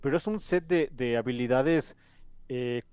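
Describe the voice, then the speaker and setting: A man's voice: medium pitch, a little slow, darkish tone, smooth, no echo. A man with a Mexican accent hosting a podcast, chatting casually in an enthusiastic, rambling way. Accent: Mexican Spanish. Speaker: podcast host.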